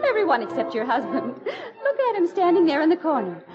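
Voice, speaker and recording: An actress playing a gossipy, gushing young Southern belle in a 1940s radio drama. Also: female voice, sits high, fairly quick. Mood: gushing, amused. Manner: gushing, lively. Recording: dull 1940s radio recording with music.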